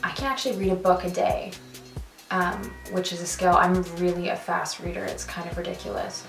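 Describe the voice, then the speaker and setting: A young Canadian woman with a lowish voice chatting on camera, animated and quick to laugh. She sits back from the mic in a roomy space, with faint music playing behind her. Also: gravelly, distant mic. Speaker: vlogger. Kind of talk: casual chat.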